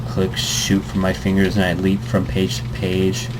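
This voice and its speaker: A man's voice: sits low, completely flat delivery, normal speed, background noise. A young man hosting a let's play, reading a visual novel aloud with joking asides and fillers.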